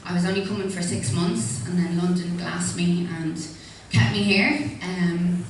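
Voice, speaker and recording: A woman's voice: fairly low pitch, fairly even intonation, unhurried. A woman in her thirties with a Dublin Irish accent, speaking informally and hesitantly, with many ums, as a candidate at a hustings. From Ireland. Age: 30s. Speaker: independent candidate. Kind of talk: speech at a hustings. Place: public hustings hall. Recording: echoey hall from far off with faint noise.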